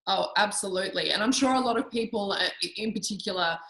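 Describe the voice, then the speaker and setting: A woman's voice: medium pitch, quick pace, reverberant, rough recording. A woman hosting a video-call interview, encouraging and affirming her guest often. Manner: warm and encouraging, affirms often with "I know" and "absolutely". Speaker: interview host.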